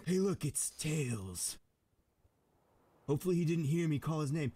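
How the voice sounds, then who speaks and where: A man voicing a blunt, deadpan, scolding hedgehog antihero in a comedic video game fan dub. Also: fairly high pitch, measured pace, breathy edge, soft background music.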